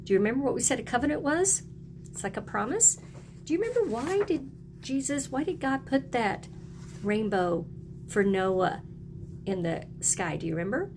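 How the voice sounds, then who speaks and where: A woman's voice: a little high, animated, normal speed, darkish tone, slight background noise. A woman teacher leads a read-aloud lesson for young children, encouraging them and prompting them with questions and repeats.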